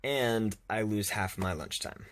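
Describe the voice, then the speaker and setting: Young man venting in a lowish voice. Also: measured pace, fairly bright tone, slight background noise. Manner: self-deprecating, exasperated complaining. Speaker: vlogger. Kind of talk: casual storytelling vlog.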